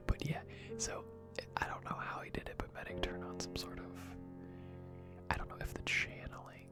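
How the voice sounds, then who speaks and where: Casting: hushed ASMR game streamer, whispered and unhurried casual commentary, loud music underneath. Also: deep voice, expressive.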